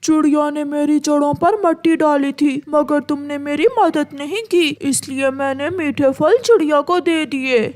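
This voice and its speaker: A woman's voice: sits high, fairly even intonation, a little slow, hardly any pauses, darkish tone. A woman voicing a kind old tree in a children's cartoon, with a plaintive manner. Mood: worried. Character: big old kind tree, pleading and grateful. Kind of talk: Hindi children's cartoon voice acting.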